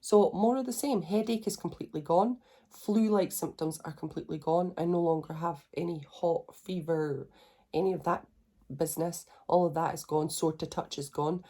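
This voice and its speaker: Congested woman, low-pitched. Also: fairly even intonation, moderate pace, dark tone. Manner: chatty, rambling, self-reassuring. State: congested, blocked nose. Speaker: vlogger. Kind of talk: casual video diary.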